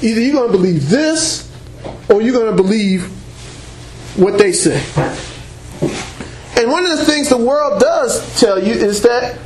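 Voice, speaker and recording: Preacher guy, really high voice, loud no-mic bible teaching, echoey church room. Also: expressive, measured pace, long pauses, slight background noise. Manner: loud and emphatic, with call-and-response exclamations like praise and amen. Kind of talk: sermon-style teaching to a congregation. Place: church meeting room before a congregation. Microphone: unamplified voice picked up by a room mic.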